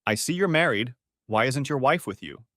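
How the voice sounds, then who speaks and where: A man's voice: mid-range voice, lively intonation, normal speed, pushed and bright, no echo, clean studio sound. A man voicing a friendly, forward divorced woman of forty-five in a narrated story. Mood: playful, assertive.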